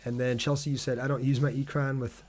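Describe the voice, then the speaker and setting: A man's voice: medium pitch, flat delivery, fast, darkish tone. A man doing casual live gameplay commentary as a streamer, chatty, thinking aloud and reading out viewer messages.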